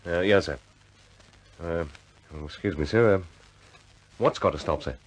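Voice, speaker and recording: A very low-voiced RAF sergeant in a radio play. He is deferential and halting, stammering apologetically through his words. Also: rough recording. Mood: hesitant, deferential. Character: deferential, hesitant RAF ground-crew sergeant. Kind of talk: radio drama acting.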